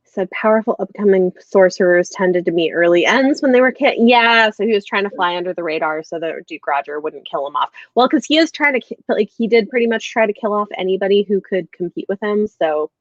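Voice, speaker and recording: A woman's voice: mid-range voice, fairly quick, hardly any pauses, no room sound. Woman doing a chatty book livestream, gushes a bit, dry room, kinda muffled audio. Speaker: book discussion livestream host. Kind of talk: casual chat.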